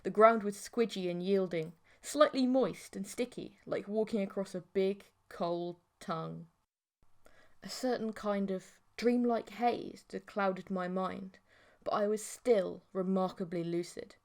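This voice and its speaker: A woman reading a scripted story as an audiobook narrator, in a measured, theatrical way. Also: medium pitch.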